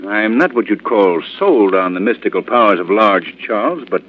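Wealthy amateur sleuth: suave, lowish, animated, tinny golden-age radio recording. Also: male voice, normal speed. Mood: urbane, curious. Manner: urbane, musing. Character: suave, thoughtful wealthy young gentleman detective. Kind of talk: 1940s radio drama acting.

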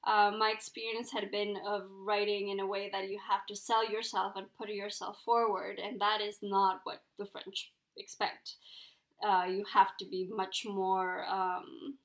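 A woman's voice: mid-range voice, creaky, low-quality recording. A young woman from Ecuador, a guest in a casual interview, talking thoughtfully with lots of ums and likes. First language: Spanish. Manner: thoughtful, many fillers like "um" and "like".

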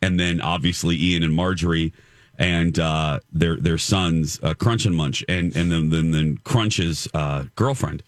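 A man co-hosting a casual chat show, telling stories in a deep voice with lots of restarts. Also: unhurried, dry recording. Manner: animated storyteller, frequent restarts. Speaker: co-host.

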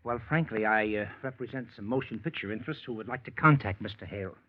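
An actor playing an eager, scheming young talent manager, hesitant at first and then persuasive, in 1940s radio acting. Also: male voice, on the low side, fast, close to an everyday voice. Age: young adult. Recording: dull, dry old radio recording.

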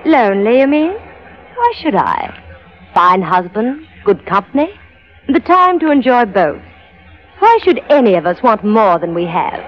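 Casting: refined, contented colonial hostess, higher voice, dull vintage radio sound. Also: female voice, very animated, unhurried. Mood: bright, insistent. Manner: genteel, formal. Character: gracious, proud, contented colonial wife and hostess. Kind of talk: 1940s radio drama acting. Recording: muffled vintage radio recording.

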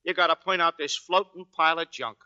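A wisecracking, grumbling American sailor in a vintage radio play. The voice is very bright and a bit high. The recording sounds dull and old. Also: male voice, brisk, smooth. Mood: griping. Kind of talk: 1940s radio drama acting.

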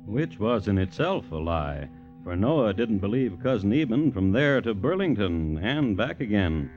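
An actor narrating a dark folk tale for a classic radio drama as a wry, deliberate storyteller. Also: male voice, fairly low pitch, sing-song. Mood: wry, ominous. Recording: dull old radio recording. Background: music.

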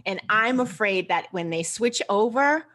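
American woman, interview guest. Conversational and animated, mid-pitched, walks listeners through her points step by step. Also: measured pace. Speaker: interview guest, personal finance expert. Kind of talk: casual interview chat.

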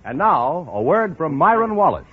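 Animated high-voiced American radio announcer man. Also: normal speed. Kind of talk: 1940s radio show announcing. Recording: dull vintage radio broadcast.

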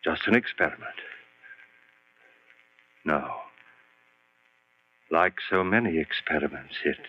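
An actor playing a desperate doctor in 1940s radio horror, speaking in a dramatic, halting way on a muffled old recording with hum. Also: male voice, a bass voice, lively intonation, full character voice. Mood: weary, subdued. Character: desperate doctor experimenting on a revived woman.

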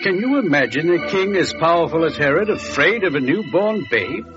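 Man as an expressive higher-pitched innkeeper. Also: moderate pace, lightly acted. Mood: somber. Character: plain-spoken male innkeeper. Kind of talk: old-time radio drama acting. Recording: muffled vintage radio recording, faint music.

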